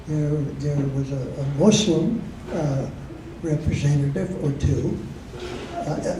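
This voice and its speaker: An older man from Michigan, speaking to a club audience as a guest speaker, slow and measured with frequent pauses and fillers. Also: on the high side, warm tone, a little hiss or hum. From Michigan, USA. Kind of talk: informal talk to a club audience. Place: meeting room. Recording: roomy echo, heard from a distance.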